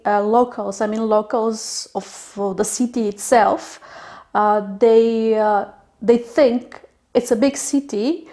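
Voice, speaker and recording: Slow, hesitant woman. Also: on the high side, darkish tone. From Bulgaria. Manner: hesitant, many fillers and restarts. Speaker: interviewee, literary agent. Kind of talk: unscripted interview answers.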